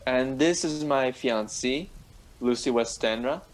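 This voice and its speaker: A courteous, apologetic gentleman doctor played by a man in a radio drama. He talks slowly and hesitantly, in a medium-pitched voice. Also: lightly acted. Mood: polite, uneasy. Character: polite, flustered gentleman doctor, apologetic host. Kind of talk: radio play acting.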